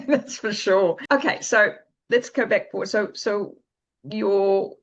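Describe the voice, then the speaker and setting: A woman host leading a conversational interview, encouraging and quick to affirm, with halting restarts as she frames her questions. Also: mid-range voice. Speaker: podcast host. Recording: slightly dull, lo-fi audio.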